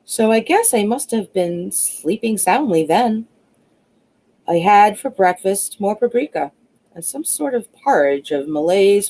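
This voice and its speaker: A woman reading a classic novel aloud as an audiobook narrator, expressive but a little halting in places. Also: fairly low pitch. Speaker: audiobook reader.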